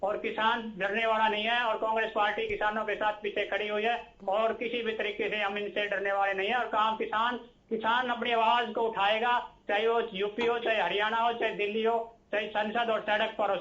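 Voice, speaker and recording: Male political spokesman, sharp high voice with a steady tone, emphatic repetition, dull remote-link sound. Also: a little fast. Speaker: political party spokesman, debate guest. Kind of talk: political debate speech.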